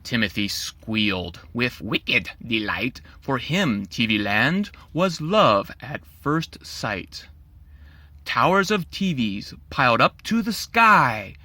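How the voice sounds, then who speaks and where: Animated, bright-voiced male storyteller. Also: medium pitch, no echo. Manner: theatrical, playful. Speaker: children's author and storyteller. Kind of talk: dramatic rhyming storybook narration.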